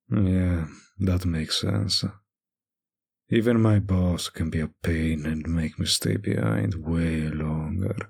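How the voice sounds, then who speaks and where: A man playing a centuries-old vampire bartender in an intimate audio roleplay, teasing and smugly charming, drawling with long pauses in a breathy, gravelly voice. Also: deep voice, darkish tone, heavily acted. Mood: sympathetic, admiring.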